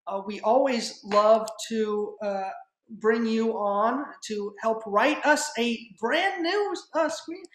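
A young woman speaking informally as a student club writer, making an announcement on a video call. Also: a little high. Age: young adult. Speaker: head writer of student audio drama club. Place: remote video call indoors. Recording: echoey room over video call.

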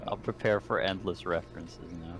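A man's voice: sits low, fairly even intonation, normal speed, music in the background. A man chatting casually as a player in an online tabletop game. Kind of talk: casual chat.

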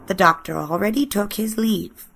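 Woman as a creaky-voiced stern old housekeeper. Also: medium pitch, moderate pace, fairly bright tone, faint music behind. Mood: dry. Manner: dry, matter-of-fact. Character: stern elderly female housekeeper, dry and unimpressed. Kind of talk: fan manga dub acting.

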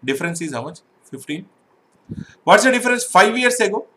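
A man's voice: high-pitched, big pitch swings, brisk, bright, forceful tone. A middle-aged man teaching an exam-prep math lesson online, explaining step by step and often checking "right?" with his students.

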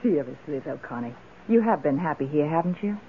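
A woman's voice: low-pitched, animated, fairly quick, a little hiss or hum. An actress playing a wealthy, generous, effusive lady of the house in an old-time radio drama, speaking in a theatrical, exclamatory way. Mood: earnest, gushing. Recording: muffled lo-fi radio drama recording.

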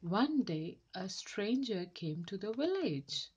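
A woman reading a children's story aloud in a careful, deliberate and expressive way. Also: medium pitch, muffled recording, noisy background. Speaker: storybook reader.

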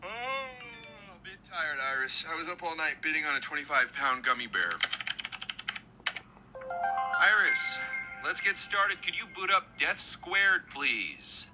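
An actor playing a tired, laid-back office worker in a video game, casual and grumbling. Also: male voice, fairly high pitch, sing-song, a little slow. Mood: sleepy, casual. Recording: music behind the voice.

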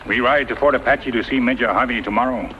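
A man's voice: mid-range voice, fast talker, close to an everyday voice. Man acting loyal western sidekick, terse broken English, grave, reverberant, hissy 1950s radio drama. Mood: grave, steady. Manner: terse, clipped broken English with dropped words. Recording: muffled, hissy old radio recording, reverberant.

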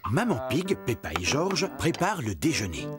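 A woman narrating a children's cartoon in an expressive storytelling manner. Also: low-pitched, normal speed, over loud music.

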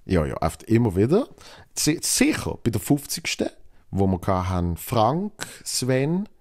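A man's voice: fairly low pitch, huge pitch swings, measured pace, darkish tone. A young man from Switzerland with a Basel Swiss German accent, hosting a solo podcast and talking loosely with many fillers and restarts. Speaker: podcast host. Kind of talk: solo podcast, reading listener mail.

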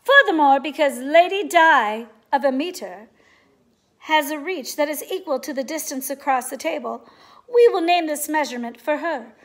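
A woman voicing a commanding, fair-minded storybook king with declamatory, measured proclamations to his knights. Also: high voice, animated. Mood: proud.

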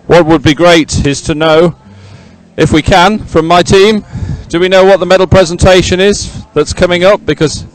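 A man's voice: sits high, steady tone, normal speed, rough recording. A middle-aged man presenting live outdoors at a sports event on a handheld mic, chatty and rambling, often repeating himself as he fills time. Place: outdoors by a regatta podium. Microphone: handheld microphone.

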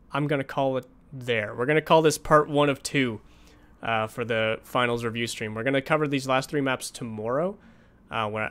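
A man's voice: mid-range voice, a bit bright. A young man reviewing an esports match as a coach, thinking aloud with many fillers, his voice tired after hours of streaming, on a home streaming mic. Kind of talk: casual match review commentary.

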